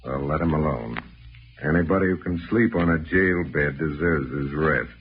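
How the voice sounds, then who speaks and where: An actor playing a tough, cool-headed American detective, terse and dry, in a 1940s radio drama. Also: male voice, very deep voice, moderate pace. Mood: calm. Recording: dull, noisy old radio recording.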